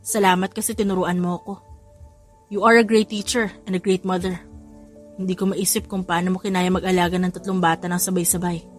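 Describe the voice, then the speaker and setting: A woman's voice: on the low side, flat delivery, fairly quick, voice pushed down, lightly acted. A charming young father as a woman narrator plays him, clumsy and apologetic, speaks with sweet sincerity. The style is romance audio drama, with music underneath. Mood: tender.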